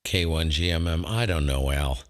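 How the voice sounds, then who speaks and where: A man's voice: a bass voice, normal speed. A man chatting casually over ham radio in an enthusiastic, emphatic way.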